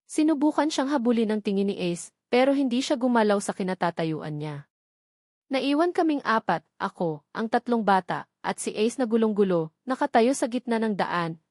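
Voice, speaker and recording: A woman tells a dramatic first-person story as a narrator. Her pitch sits in the middle for a woman, and the sound is dry, with very little room. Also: a little mellow, dry recording.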